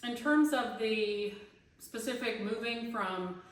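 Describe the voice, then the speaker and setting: A woman's voice: fairly high pitch, slow pace, distant mic. A woman in her fifties, a singing teacher and online student, talking casually and thinking aloud with many fillers and restarts about her own voice and teaching. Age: 50s. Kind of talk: casual spoken class discussion post. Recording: very echoey room, away from the mic.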